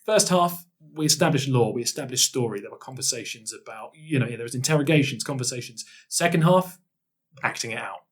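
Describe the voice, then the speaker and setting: A man's voice: mid-range voice, lively intonation, a little fast. A man who co-hosts a podcast, looking back in casual, enthusiastic and emphatic conversation. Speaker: podcast co-host and role-play performer. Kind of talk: casual retrospective chat.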